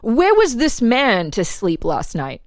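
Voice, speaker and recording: A woman's voice: a little high, huge pitch swings, slow, dry recording, clean studio sound, heavily acted. Probing, methodical interrogator, read by a woman. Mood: methodical, pressing. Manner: pressing, methodical questioning. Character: probing, insistent official examining a murder prisoner. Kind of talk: dramatic reading of an interrogation transcript.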